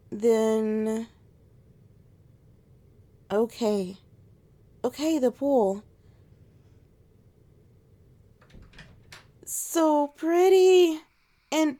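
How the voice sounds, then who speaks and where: A woman's voice: fairly high pitch, very slow, long pauses, warm tone. A woman playing a teasing alpha she-wolf who is scared of heights and easily flustered, in a romantic ASMR roleplay. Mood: panicky, wary, then playful, teasing.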